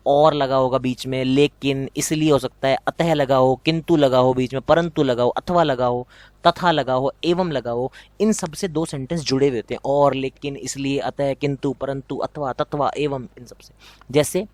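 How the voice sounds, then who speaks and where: A man's voice: mid-range voice, steady tone, moderate pace, dry recording. A young man with a Rajasthani accent teaching an exam prep lesson, repeating key points and asking students rhetorical questions.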